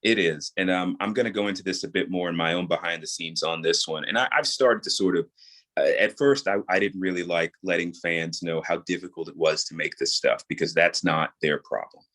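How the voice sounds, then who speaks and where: Deep-voiced man explaining in an interview. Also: a little fast, a bit bright. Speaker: guest, audio drama creator and voice actor. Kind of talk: reflective podcast interview talk.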